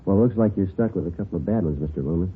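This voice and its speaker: A man acts out a terse police detective from an old radio drama. His voice is low and he rattles through the facts in a deadpan, clipped way. It sounds like a tinny old broadcast. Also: racing, slight background noise, lightly acted. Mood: businesslike, polite. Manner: deadpan, matter-of-fact. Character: terse, businesslike male police sergeant detective. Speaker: actor, lead and narrator. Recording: tinny vintage radio recording with music.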